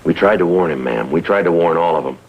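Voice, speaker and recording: A man playing a stoic American police detective in a 1950s TV drama, deadpan and clipped. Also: low-pitched, quick pace, slightly rough. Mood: stoic, firm. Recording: dull vintage TV soundtrack.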